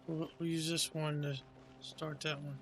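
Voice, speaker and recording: A man's voice: a little high, level tone, a little slow. A man narrating his own video game playthrough in casual commentary, thinking aloud in a laid-back way. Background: game music.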